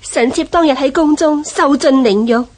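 A woman acting a captive empress in a historical radio drama. Her voice is high, and she speaks formally, coy and ingratiating, full of submissive flattery. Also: normal speed, lightly acted.

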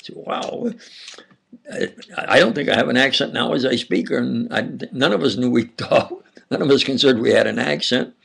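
Older man, New York-area accent, gravelly husky voice, slow and halting, insistent lecturing. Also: mid-range voice, expressive. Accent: Upstate New York / New Jersey. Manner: slow, halting, insistent, with frequent restarts and sudden loud emphasis. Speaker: self-styled preacher lecturing on camera. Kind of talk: rambling monologue lecture.

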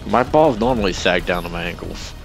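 Brash young male gamer, very low voice, quick talker, game music and gunfire behind. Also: vocal fry, low-quality recording. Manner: brash, swearing, teasing. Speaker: channel host playing online shooter. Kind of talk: crude casual gaming chat.

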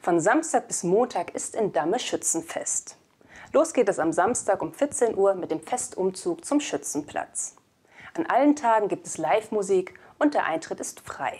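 Woman, twenties, mid-pitched voice, scripted news presenting, studio lapel mic. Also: animated, normal speed, darkish tone, husky. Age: 20s. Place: indoor studio.

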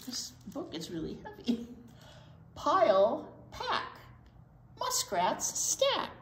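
A woman's voice: high voice, huge pitch swings, slow. An older woman reading a picture book aloud to young children, with conversational asides between the lines. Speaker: children's storytime co-host reader. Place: indoor library storytime room. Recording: roomy sound with some background noise.

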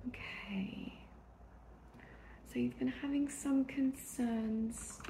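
A woman doing a soft-spoken ASMR therapist roleplay, gentle and reassuring, often slipping into a whisper. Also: on the high side. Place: small indoor room at a desk. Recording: soft background noise, keyboard clicks. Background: computer keyboard typing.

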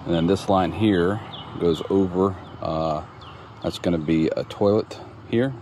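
A man with a very deep voice gives a relaxed, plain-spoken tour of his construction site, stopping often for ums and restarts. It was recorded outdoors on a handheld phone. Steady outdoor noise sits clearly behind him. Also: measured pace. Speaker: homeowner showing his build. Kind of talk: casual walkthrough narration. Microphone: phone camera held while walking.

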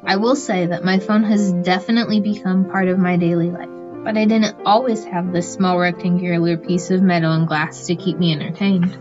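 A woman's voice: on the low side, fairly even intonation, normal speed, close to an everyday voice. A woman playing a friendly, chatty young interviewer in a radio play. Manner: casual, chatty. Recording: muffled, music underneath.

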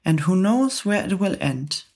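A woman's voice: lowish voice, expressive, a little slow, darkish tone. A woman voicing a gloomy, pessimistic male earthworm who mutters his complaints. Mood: grumbling.

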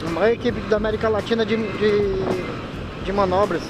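A man talks in a relaxed, slangy way while he rides a motorcycle outdoors. The sound comes from a helmet-mounted camera mic. Music plays clearly underneath his voice. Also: high-pitched, moderate pace, low-quality recording. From Manaus, Brazil. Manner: chatty, laid-back slang, frequent laughs. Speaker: motovlogger. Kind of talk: casual motorcycle vlog commentary. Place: riding a motorcycle on city streets outdoors.

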